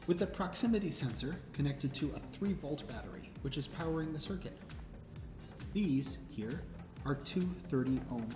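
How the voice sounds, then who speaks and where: A woman instructor presenting a hands-on tutorial, explaining step by step and prompting with questions. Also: extremely low pitch. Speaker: presenter and instructor of a DIY electronics show. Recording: roomy echo, music behind. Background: background music.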